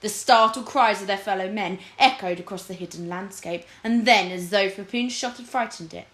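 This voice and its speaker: A woman reads a children's story aloud in expressive, scripted narration. Her pitch is average, and the room is clearly echoey. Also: normal speed. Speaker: teacher reading aloud.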